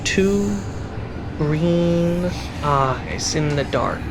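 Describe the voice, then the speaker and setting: Slow, measured game master over music. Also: mid-range voice, animated. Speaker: game master and show host. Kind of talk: narrating a tabletop fantasy game. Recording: background music and rain sounds under voice.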